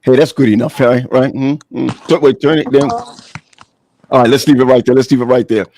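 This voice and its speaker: A man's voice: medium pitch, fairly even intonation, normal speed, darkish tone, slight background noise. A man hosting a live video call discussion, chatty and affirming as he directs his guests. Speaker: host.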